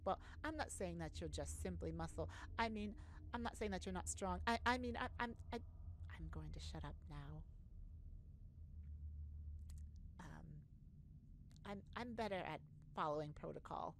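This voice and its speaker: A woman playing an earnest, easily flustered space ambassador, halting and awkward yet formal, in an ASMR sci-fi roleplay. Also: on the low side, lightly acted. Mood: awkward, tired. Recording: noticeable background noise.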